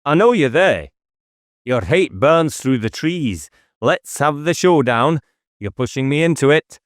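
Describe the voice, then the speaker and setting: Agitated police inspector, played by a male narrator, pitched up, declamatory and challenging, dry audiobook sound. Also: lively intonation, a little slow, no echo. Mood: challenging, defiant. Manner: challenging, raised declamatory delivery. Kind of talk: audiobook character dialogue.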